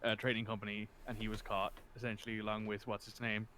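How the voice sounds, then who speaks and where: A man's voice: on the low side, little pitch movement, fast, sharp tone, slight background noise. A man talking casually as a player in a tabletop game session.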